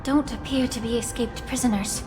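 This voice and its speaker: A woman acting as a principled, guarded official in a fantasy game. Her voice sits fairly high, with formal, articulate and careful phrasing. It rings with long reverb over a music track. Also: steady tone, normal speed, lightly acted. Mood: analytical, suspicious. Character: poised, principled woman healer-official, politely guarded and analytical.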